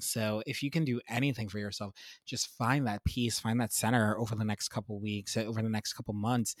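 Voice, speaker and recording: A young man chats through his solo podcast with a stuffy, congested voice, upbeat and quick to poke fun at himself. His voice sits at an ordinary middle pitch. It is recorded close and dry, with no room sound. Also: dry recording. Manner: chatty, upbeat, self-mocking asides. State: congested, clearing throat. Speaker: podcast host. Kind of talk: casual solo podcast chat.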